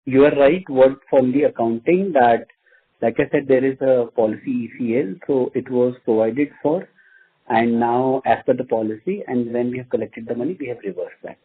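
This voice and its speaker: An Indian-accented man, a finance executive, answering investor questions in a measured, formal way with repeated words. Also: medium pitch, husky. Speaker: company finance executive on an earnings call. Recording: muffled narrow phone-line audio.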